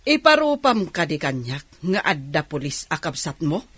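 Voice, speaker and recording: Woman acting an envious proud aunt, raspy, animated pitch swings, Ilocano accent, village radio drama. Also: normal speed, no room sound, heavily acted. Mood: haughty, dismissive.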